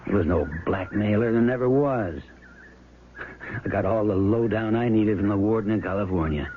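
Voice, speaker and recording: An actor playing a stern, no-nonsense sheriff, firm and authoritative, in 1970s radio drama style. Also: male voice, lowish voice, moderate pace, close to an everyday voice. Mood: firm, questioning. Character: stern, no-nonsense county sheriff questioning a suspect. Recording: narrowband, noisy old radio broadcast recording.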